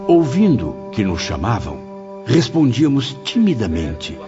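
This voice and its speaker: A man playing a reflective, courteous spirit who narrates his memoir in a formal, expressive audiobook drama style. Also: medium pitch, unhurried. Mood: reflective narration. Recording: dull lo-fi audio under music.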